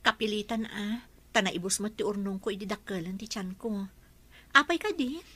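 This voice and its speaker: Bright-voiced worried Filipino mother, played by an actress. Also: mid-range voice, normal speed. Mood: resigned. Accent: Filipino, Ilocano. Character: worried, struggling young mother, humble and devoted. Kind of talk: radio drama acting.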